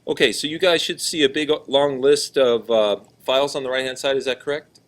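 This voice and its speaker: Loud, projecting male teacher. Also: mid-range voice, steady tone, a little fast. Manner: casual, checks in with class often. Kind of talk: classroom lesson, walking around. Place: classroom.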